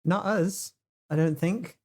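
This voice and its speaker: A woman's voice: lowish voice, slow, darkish tone. A woman voicing a hesitant, sheepish young magic student in an improvised tabletop game. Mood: flustered. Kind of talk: improvised tabletop roleplay in character.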